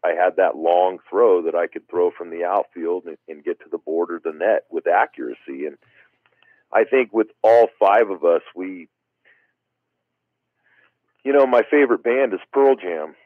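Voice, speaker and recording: A man's voice: deep voice, moderate pace, no room sound. A man speaking as a guest in a casual interview, rambling with many "you know" fillers. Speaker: guest wiffle ball player. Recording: tinny phone line.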